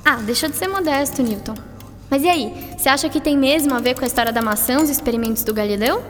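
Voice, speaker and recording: A curious schoolgirl acted by a woman with a high voice, chattering and teasing in an expressive, informal way. It is a radio drama, set in long reverb with music behind. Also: quick pace, lightly acted. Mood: curious, chatty.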